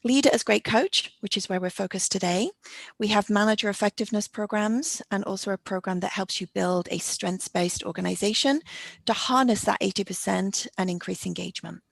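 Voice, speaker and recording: A woman's voice: medium pitch, brisk, creaky, no room sound. A middle-aged woman hosting a webinar, explaining things in a businesslike, presentational way. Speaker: webinar host and coaching company founder. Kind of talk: webinar presentation, interview format.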